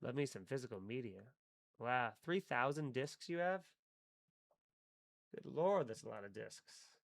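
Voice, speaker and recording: A man's voice: mid-range voice, expressive, measured pace, gravelly, no echo. Profane rambling man, dry sound. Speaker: comedian hosting a live stream. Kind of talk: casual live-stream chat reading chat comments.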